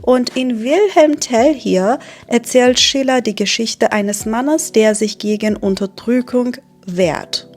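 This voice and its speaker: A young woman from Iran who teaches German, hosting her channel and presenting books in a clear, measured teaching style with occasional hesitations. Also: a little high, a little mellow. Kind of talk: slow, clear teaching narration for learners.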